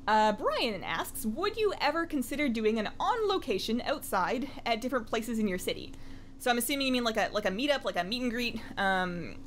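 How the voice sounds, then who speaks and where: Higher-pitched woman vlogging casually, noisy recording. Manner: rambling, conversational, self-deprecating. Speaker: gaming vlogger and host. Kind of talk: casual chatty vlog about an online game.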